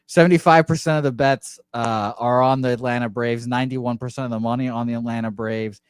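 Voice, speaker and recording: A man's voice: medium pitch, steady tone, a little fast, smooth, no room sound, studio quality. A man whose first language is not English, giving his betting picks casually, with lots of fillers and self-corrections. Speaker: betting analyst. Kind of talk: sports betting radio talk.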